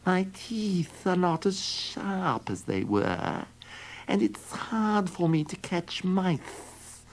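A man voicing a mournful old cat, high and thin, dragging out each complaint. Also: very animated, vocal fry, heavily acted, rough recording. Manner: plaintive, drawn-out complaining.

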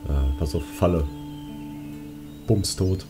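A man's voice: sits low, slow pace. A man giving casual gameplay commentary in speech, musing aloud as he works through puzzles. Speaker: let's player. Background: game music.

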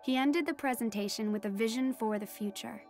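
An earnest, reflective teenage girl acted by a young woman tells her story in an audio drama. Her voice sits fairly high. Music plays underneath her narration. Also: fairly quick, darkish tone, natural voice. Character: teenage daughter of a marine biologist, earnest storyteller. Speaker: narrator and lead character. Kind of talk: scripted first-person audio drama narration.

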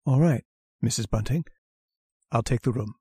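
A secretive, weary male lodger who stammers and trails off in a soft, hushed way, as a man acts him out. His voice is dark and breathy, recorded dry, like an old-time radio horror play. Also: medium pitch, normal speed, no echo. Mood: withdrawn. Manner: hesitant and stammering, soft-spoken. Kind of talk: radio drama acting.

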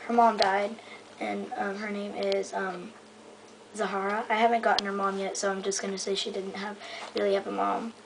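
A woman giving a casual, rambling show-and-tell in a hesitant, halting way that often trails off. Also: mid-range voice, little pitch movement, creaky. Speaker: hobbyist giving a toy horse stable tour. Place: indoors in a room at home. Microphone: handheld camera held close by the speaker. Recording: noisy amateur home camera recording.

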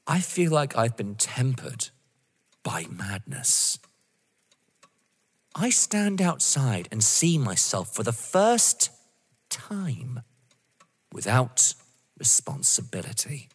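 Audio drama: wounded, menacing avenger, played by a man, slow and halting, dramatic, set in a reverberant hall. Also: medium pitch, big pitch swings, breathy edge, full character voice. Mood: wounded, intense. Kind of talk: full-cast audio drama acting of a stage play.

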